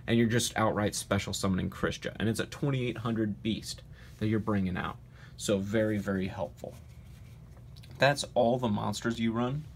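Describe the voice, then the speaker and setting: Low, stuffy-nosed man chatting. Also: slight background noise. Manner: confident, emphatic, frequent "uh" and "um". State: stuffy nasal voice from a cold. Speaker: card game channel host. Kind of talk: casual deck profile talk.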